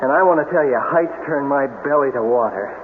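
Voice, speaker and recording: A man's voice: mid-range voice, a little fast, natural voice. An actor playing a plain-spoken young American man trapped in a cave, in 1970s radio drama style. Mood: rueful. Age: young adult. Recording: noisy, phone-like old radio broadcast.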